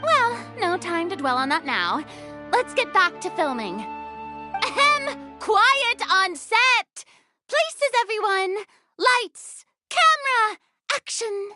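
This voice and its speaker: Very high-pitched dramatic young director. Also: female voice, very animated, slow, music in the background, heavily acted. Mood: brisk, then commanding. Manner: theatrical, commanding. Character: dramatic, bossy, excitable young female film director. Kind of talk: video game voice acting.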